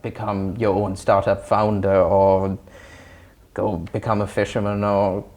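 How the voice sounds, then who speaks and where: A young man from Sri Lanka answering interview questions in a thoughtful, articulate, measured way. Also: on the low side, monotone, a little mellow. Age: young adult. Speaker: interview guest. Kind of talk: reflective interview talk.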